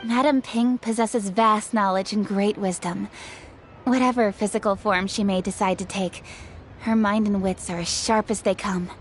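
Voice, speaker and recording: A woman's voice: mid-range voice, fairly even intonation, moderate pace, close to an everyday voice. A woman voicing a poised, diligent young official in a video game, courteous and articulate. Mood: composed. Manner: composed, articulate, polite. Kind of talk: video game character acting. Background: faint music.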